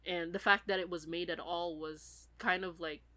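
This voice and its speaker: A woman's voice: lowish voice, fairly even intonation, moderate pace, sharp tone. A woman who is sick, chatting casually as a podcast co-host. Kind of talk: casual chat.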